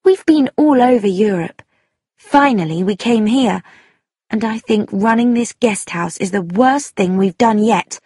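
A woman's voice: medium pitch, unhurried, mellow, slightly rough, no echo, voice pushed up. A woman voicing an angry, bitter young woman in an audiobook, emphatic and defiant. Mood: resentful. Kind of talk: audiobook character dialogue.

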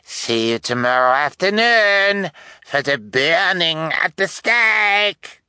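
A man's voice: lowish voice, big pitch swings, takes it slowly, pushed and bright, dry recording, heavily acted. Man acting drawling, gloating villain posing as detective. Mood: mocking farewell. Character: sneering villain posing as male detective, menacing, mocking. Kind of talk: audiobook character voice.

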